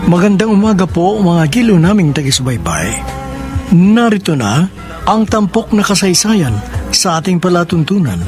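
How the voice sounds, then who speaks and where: High-voiced male radio announcer, very lively. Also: moderate pace. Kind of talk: scripted announcing. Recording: prominent music behind voice.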